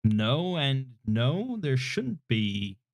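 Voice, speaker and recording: A calm man in his thirties chats through viewer questions from home, hedging and joking at his own expense. His voice is relaxing and mid-pitched. The desk mic sounds a little muffled, in a dry room with no echo. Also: extremely slow. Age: 30s. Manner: relaxed, hesitant, self-deprecating, many fillers. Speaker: YouTube host answering viewer questions. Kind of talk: casual live Q&A chat. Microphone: desk microphone without his usual equalizer, slightly muffled.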